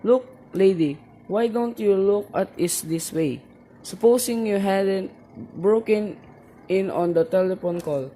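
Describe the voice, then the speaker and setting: A woman's voice: medium pitch, unhurried, warm tone, slight background noise, close to an everyday voice, low-quality recording. A woman playing an impatient, dismissive police sergeant in a radio play, talking in a brusque, condescending way. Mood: skeptical, condescending.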